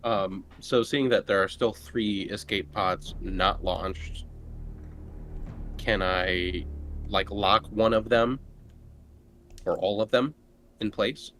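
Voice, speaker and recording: A man's voice: fairly low pitch, steady tone, takes it slowly, pauses often, fairly bright tone, slight background noise. A man talking casually as a player in a tabletop roleplaying game.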